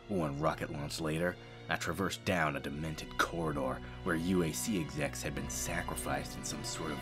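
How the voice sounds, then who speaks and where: A man playing a grim, wry space marine who narrates his battles in a sardonic, hard-boiled audio drama style. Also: extremely low pitch. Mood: grave, ominous. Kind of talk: scripted first-person audio drama narration.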